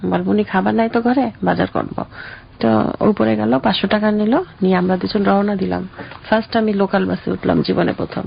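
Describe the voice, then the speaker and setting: Bangladeshi woman, middle aged, telling her life story, raspy gravelly voice, muffled audio. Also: mid-range voice, steady tone, brisk, a little hiss or hum. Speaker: interview guest. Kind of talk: conversational personal storytelling.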